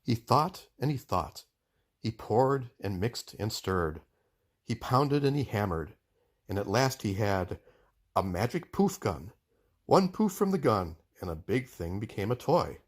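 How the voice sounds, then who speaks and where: A man narrating a children's book aloud in an expressive storytelling style. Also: lowish voice, a little slow.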